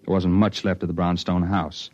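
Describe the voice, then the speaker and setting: An American actor playing a stubborn, suspicious investigator in a 1950s radio drama. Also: male voice, sits low, level tone, moderate pace, a little mellow. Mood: grim narration. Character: hard-boiled male investigator, stubborn, suspicious and driven. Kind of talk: 1950s radio drama acting and first-person narration. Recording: vintage 1950s radio, muffled, faint music.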